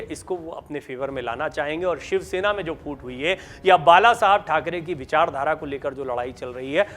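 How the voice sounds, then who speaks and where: Higher-pitched man presenting political news analysis. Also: fairly bright tone.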